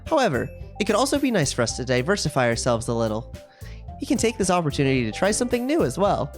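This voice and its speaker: An earnest, bookish teenage girl from a visual novel read by a woman. She speaks formally and hesitantly in a lowish voice, with faint music in the background. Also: big pitch swings, fast. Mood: measured.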